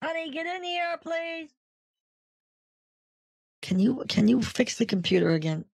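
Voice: high-pitched, big pitch swings, a little slow, no echo, clean studio sound, heavily acted. A performer voicing a flustered retired older woman on a scam call, panicky and repeating herself. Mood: exasperated. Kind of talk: prank call with a phone scammer.

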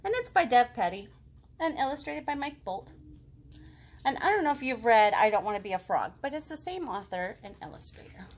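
A woman's voice: fairly high pitch, sing-song, brisk, slight background noise. A woman in her thirties hosting a children's storytime, speaking simply and encouragingly to young listeners. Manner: simple, encouraging talk addressed to small children. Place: living room at home.

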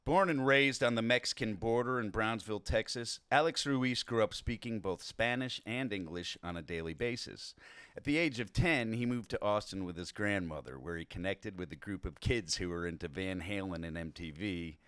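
Brief: male podcast host, lowish voice, eager and a little stumbling, dry studio. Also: normal speed, fairly bright tone, clear, resonant tone, no room sound. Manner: enthusiastic, stumbling over words when unscripted. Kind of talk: scripted intro and casual interview. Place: recording studio.

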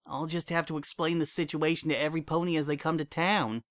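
A man's voice: fairly high pitch, fast, no echo, studio quality, voice pushed up, close to an everyday voice. A man doing Bon Bon, a heartbroken mare. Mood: flat, bitter. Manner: cold flat tone turning to sobbing. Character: heartbroken young female pony, flat and bitter.

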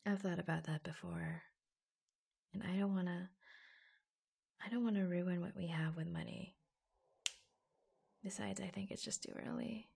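A woman playing an affectionate, proud and reassuring secret office lover in an ASMR roleplay, speaking softly, breathily and hesitantly, often close to a whisper. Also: fairly low pitch, fairly even intonation, moderate pace, long pauses, exaggerated voice. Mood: tender, hushed. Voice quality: soft, breathy. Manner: hushed, hesitant, confiding.

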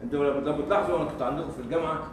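A man's voice: a little high, very fast. An Egyptian man with an Egyptian accent giving an informal university lecture, throwing out rhetorical questions, repeating himself and chuckling. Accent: Egyptian Arabic. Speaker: guest lecturer. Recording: echoey room, mic far off, faint noise.

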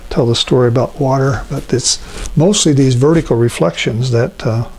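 An older man demonstrating a watercolor painting as an instructor, talking himself through each step with frequent ums and pauses. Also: mid-range voice, slightly rough. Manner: relaxed, thinking aloud with many ums. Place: art studio at a painting table.

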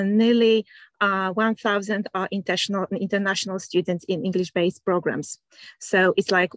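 A woman's voice: medium pitch, no room sound. Hesitant woman presenting over video call. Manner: hesitant, many fillers and repeats. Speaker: university admissions officer. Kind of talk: presenting slides.

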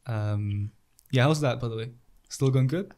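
A man's voice: mid-range voice, measured pace, darkish tone. A young man from Italy hosting a casual podcast chat, laid-back, with loose fillers and frequent laughs. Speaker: podcast host.

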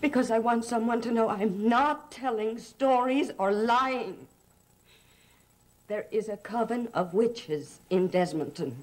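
A woman's voice: fairly high pitch, takes it slowly, darkish tone. An actress playing a frightened, defiant young woman in a gothic soap opera, speaking in a breathless, pleading way. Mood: anxious, pleading. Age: young adult. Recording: old TV soundtrack with background music.

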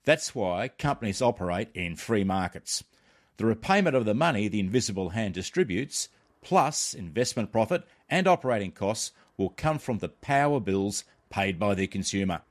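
A middle-aged Australian man reading a scripted explainer as a podcast host, with measured, emphatic phrasing. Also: on the low side, expressive.

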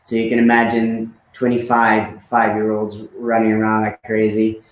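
Relaxed man from Zimbabwe in an echoey room. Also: fairly low pitch, moderate pace. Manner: relaxed, with many fillers and repeats. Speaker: guest. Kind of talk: casual conversation.

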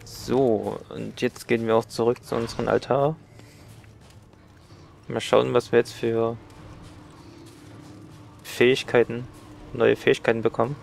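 A man's voice: mid-range voice, flat delivery, unhurried, creaky, long pauses, darkish tone, soft background music. A man with a cold giving casual, chatty commentary while playing a game. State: has a cold. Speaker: let's play gamer.

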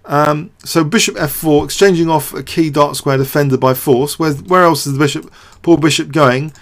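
A man's voice: on the high side, moderate pace. A man giving off-the-cuff game commentary as a show host, hesitant and thinking aloud with repeated words.

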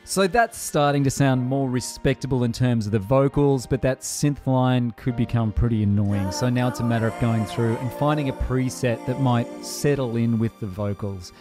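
A man giving a software tutorial voice-over in a casual, conversational way. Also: mid-range voice, moderate pace, hardly any pauses, music in the background. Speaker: tutorial presenter.

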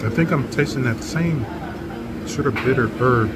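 A middle-aged man giving a casual food review, speaking haltingly and trailing off as he tastes. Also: medium pitch, very noisy. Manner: halting, trailing off while tasting. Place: indoor restaurant. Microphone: phone held close to face. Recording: loud music and restaurant crowd behind.

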